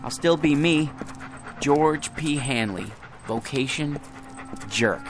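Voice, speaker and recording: An actor playing a timid, down-on-his-luck man talking to his dog in a radio drama, soft and hesitant with some stammering. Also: a little high, slow pace. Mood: wistful. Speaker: lead actor. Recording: studio radio play with music underneath.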